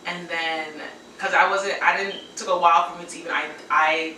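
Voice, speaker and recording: A woman in her twenties co-hosting a faith podcast, chatting casually in an animated, slangy way and laughing easily. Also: on the low side, a little fast, roomy sound, far from the mic, slight background noise, low-quality recording. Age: 20s. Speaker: podcast co-host. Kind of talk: casual chat.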